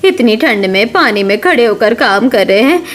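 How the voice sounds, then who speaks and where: A slightly high-voiced older mother-in-law from a family cartoon, acted by a woman. She is motherly and earnest, worrying over her household but determined in what she says. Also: expressive, normal speed, close to an everyday voice. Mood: concerned, determined. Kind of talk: Hindi animated family story acting.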